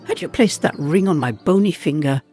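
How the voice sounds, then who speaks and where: A man's voice: on the high side, expressive, moderate pace, darkish tone, slightly rough. A man voicing a rude, sardonic undead bride in a storybook tale, with snappish retorts. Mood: indignant. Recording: music bed behind the voice.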